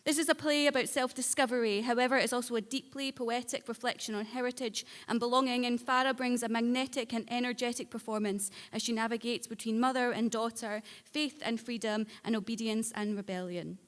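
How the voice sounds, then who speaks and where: A woman with a fairly high voice reads out prepared award citations at a live ceremony. Her delivery is measured and articulate, and the hall gives it a long echo. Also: fairly even intonation. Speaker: award judge announcing shortlist. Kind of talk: prepared presentation speech. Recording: echoey hall with long reverb.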